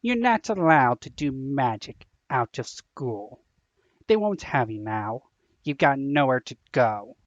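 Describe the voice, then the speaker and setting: Woman acting a blustering uncle, wildly swinging pitch. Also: big pitch swings, takes it slowly, no room sound, lo-fi sound, full character voice. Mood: cruel gloating. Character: angry, blustering, menacing middle-aged uncle. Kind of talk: amateur audio drama acting. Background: music.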